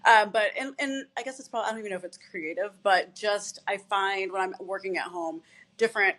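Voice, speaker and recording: A woman guest, a physician assistant and fitness instructor, talking in a relaxed, rambling way with many fillers. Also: medium pitch, brisk. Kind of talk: casual interview chat.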